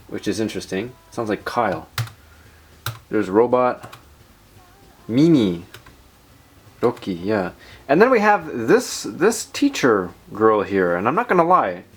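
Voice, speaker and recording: A man hosting a tech video, chatting informally and rambling through a software demo with playful asides. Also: medium pitch. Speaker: tech channel host. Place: indoors at a desk in a room.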